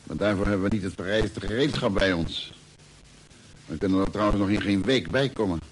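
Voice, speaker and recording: A man playing a spaceship engineer in a radio drama, blunt and pragmatic. His voice is on the low side and matter-of-fact, on an old recording with faint noise. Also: fairly quick, close to an everyday voice, low-quality recording. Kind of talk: Dutch radio play acting.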